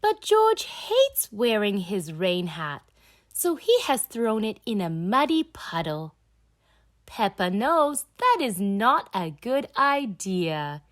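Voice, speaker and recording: A woman reading a children's storybook aloud in an expressive, deliberate storytelling style. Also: medium pitch. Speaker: storybook reader.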